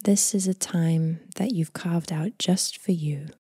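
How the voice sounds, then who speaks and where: A woman leading a guided body scan meditation in a soft, breathy, near-whispered voice, gentle, unhurried and soothing. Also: fairly low pitch, no room sound, clean studio sound. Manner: gentle, unhurried, calming delivery with long phrases trailing off.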